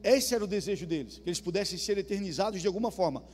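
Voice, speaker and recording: Southern Brazilian male pastor, high voice, chatty preaching in a reverberant hall. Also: animated, very fast. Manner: conversational, asks the congregation questions. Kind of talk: sermon. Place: church hall. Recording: reverberant church hall with soft music.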